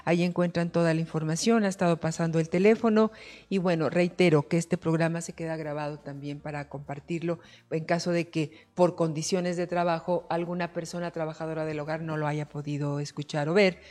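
Affectionate lower-voiced woman host. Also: level tone, brisk, a little mellow. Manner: affectionate, emotive, expansive. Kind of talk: talk show interview.

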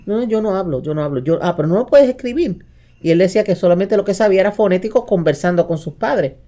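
A woman's voice: medium pitch, animated, quick pace, mellow. A Puerto Rican woman co-hosting a podcast, telling stories casually and mixing in English phrases. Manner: storytelling, mixes in English phrases. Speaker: podcast co-host. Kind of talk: casual chat reviewing TV shows.

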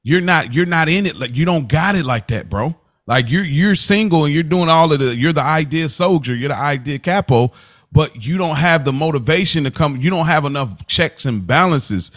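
A man's voice: a little high, animated, normal speed, a bit bright, no echo, clean studio sound. An American man hosting a casual podcast about a TV series, talking in a slangy, conversational way and repeating phrases to make his point. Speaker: podcast host.

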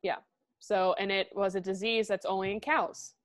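A young woman from the Midwest, co-hosting a podcast in excitable, chatty talk that slips into casual swearing. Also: mid-range voice, moderate pace, no room sound. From American Midwest. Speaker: podcast co-host. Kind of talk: casual chat.

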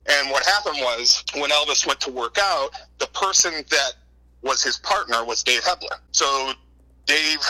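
Male interview guest, middle-aged, very bright forward tone, conversational and wordy storyteller. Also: medium pitch, rough recording. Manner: wordy, informal, repeats words and says "you know" often. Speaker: interview guest, book co-author. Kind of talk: conversational interview answers, telling anecdotes.

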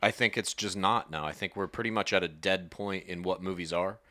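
Blunt, opinionated young man, bright tone. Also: deep voice, a little fast, dry recording, clean studio sound. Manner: blunt, opinionated, swears often. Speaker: podcast co-host. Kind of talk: casual chat.

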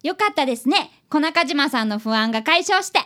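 Eager young schoolboy sleuth, very high voice, bouncy intonation, polite, radio drama. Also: female voice, lively intonation, fairly quick, fairly bright tone. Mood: earnest, gentle. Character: earnest, polite fifth-grade schoolboy apprentice detective. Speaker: child apprentice detective in a radio drama.

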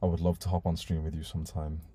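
A man's voice: rumbling low, level tone, quick pace, mellow, breathy edge, no room sound. A young man whose first language is Urdu, hosting a casual live stream and reading out donation messages in a laid-back way, swearing casually.

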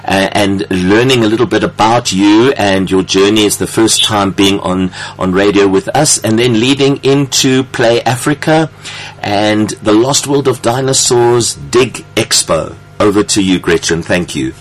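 A man's voice: fairly low pitch, low-quality recording. A man hosting a radio interview, gushing and effusive, stretching out his words in the studio. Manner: effusive, gushing, drawn-out phrasing. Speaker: radio show host.

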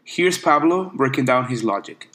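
A man's voice: medium pitch, lively intonation, normal speed, fairly bright tone. A young man hosting a podcast, narrating scripted segments and chatting with his guests with friendly agreement. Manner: clear narrating with friendly back-channel agreement. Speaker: podcast host. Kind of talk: scripted narration and casual chat.

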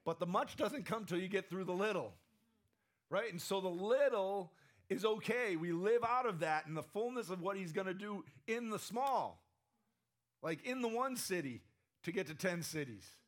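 A man's voice: high voice, lively intonation, moderate pace. A man preaching a conversational sermon, often asking the room "right?", his voice strained and sometimes cracking. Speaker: pastor.

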